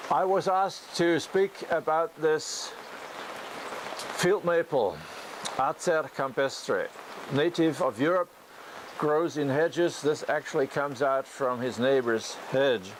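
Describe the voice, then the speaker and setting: Higher-voiced man teaching, with background noise. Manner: halting, thinking aloud, whimsical storytelling. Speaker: bonsai teacher giving tree critiques. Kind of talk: unscripted spoken critique and demonstration. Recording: noisy ambient location recording.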